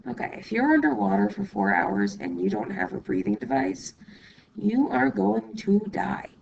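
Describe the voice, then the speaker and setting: Hoarse, gravelly woman math teacher. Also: mid-range voice, wildly swooping pitch, dull audio, background noise. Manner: patient step-by-step explaining, asks "okay?"; writes while talking, pausing mid-sentence. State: hoarse, losing her voice from illness. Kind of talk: recorded classroom lesson.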